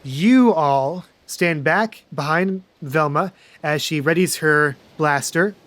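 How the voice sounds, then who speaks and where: A man running a tabletop game as game master, narrating scenes in a dry, deadpan way. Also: a little high, slow pace, slight background noise.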